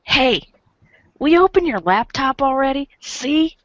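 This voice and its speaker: A woman's voice: sits high, lively intonation, measured pace, fairly bright tone, no echo. Cocky, sarcastic teenage boy female performer, rambling casual delivery, slightly muffled dry recording. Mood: exasperated. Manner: wry, rambling, casual swearing. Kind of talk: amateur dramatic reading of webcomic chat.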